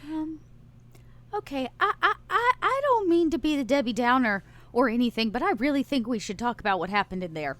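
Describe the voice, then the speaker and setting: A woman acting a flustered, high, animated young-sounding hunter. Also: a little fast. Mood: awkward, defensive. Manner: stammering, hesitant, blurting. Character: Nero, flustered, defensive woman driver of a monster-hunting cell.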